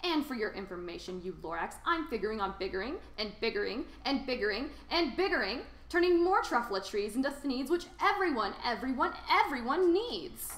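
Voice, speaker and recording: Greedy male factory boss for a storybook, read by a woman: boastful, combative, big pitch swings. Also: very animated, fairly quick, few pauses, a bit bright. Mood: furious, defiant. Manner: boastful, emphatic, blustering. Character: greedy, defiant male factory tycoon, blustering. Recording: roomy, echoing sound.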